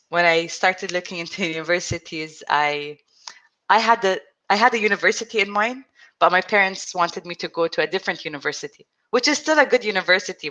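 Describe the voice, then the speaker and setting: A woman in her thirties, an interview guest telling her own story in an earnest, reflective way, speaking with a stutter, frequent restarts and pauses. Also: fairly low pitch, sharp tone. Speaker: interview guest, support group founder. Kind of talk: personal storytelling in conversation.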